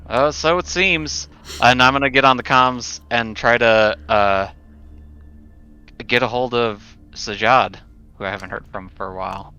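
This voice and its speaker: A man playing a tabletop roleplaying game, speaking casually in character in a hesitant, measured way. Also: medium pitch, pushed and bright, clear, resonant tone. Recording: dull audio, music behind.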